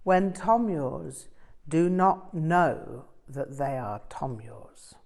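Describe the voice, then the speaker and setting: A woman reading the part of an older male Tibetan Buddhist master in an audiobook, telling stories in a deliberate, emphatic way. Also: low-pitched, animated, a little mellow, full character voice. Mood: didactic, blunt.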